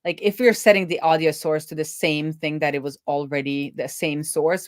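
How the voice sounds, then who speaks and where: A woman with a fairly low voice talks through her work as a casual coding streamer. The sound is dry, and she reasons out loud, trailing off and rephrasing her thoughts as she goes. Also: no echo. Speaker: host, web developer live coding.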